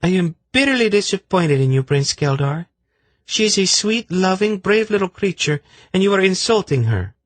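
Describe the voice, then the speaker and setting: A man's voice: high voice, big pitch swings, normal speed, no room sound, voice pushed up. A man voicing a fiery young woman who sharply defends a snake, in an audiobook. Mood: reproachful. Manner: indignant, sharp.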